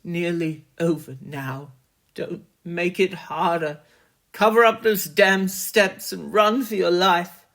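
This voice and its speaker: A desperate man trapped below ground cries out in frantic urgency, as a storyteller puts him on. His voice is raised and strained, pitched well above the teller's own, often shouting. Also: steady tone, takes it slowly. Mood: frantic pleading. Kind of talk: dramatic horror story reading.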